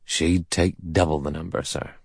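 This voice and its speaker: A man voicing a weather-beaten seaman in an audiobook, with a soft Devon accent and a deferential, persuasive manner. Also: extremely low pitch, fairly even intonation, normal speed, gravelly, husky, dry recording, studio quality, exaggerated voice. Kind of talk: audiobook character dialogue.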